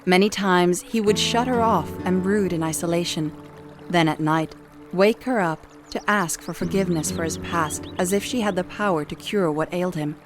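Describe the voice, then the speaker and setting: Low-pitched woman narrating over music. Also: normal speed. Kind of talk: scripted storytelling narration.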